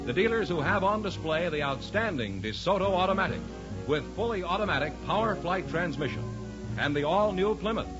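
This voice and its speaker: A man delivers a 1950s radio announcement with emphatic polish. His voice sits fairly high and swings animatedly, over music on a dull vintage broadcast.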